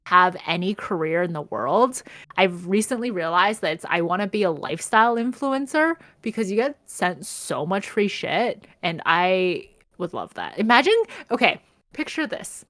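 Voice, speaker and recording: A woman's voice: lowish voice, lively intonation, normal speed, fairly bright tone, no room sound. A young adult woman co-hosting a podcast in a casual, conversational style. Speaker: podcast co-host. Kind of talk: casual chat.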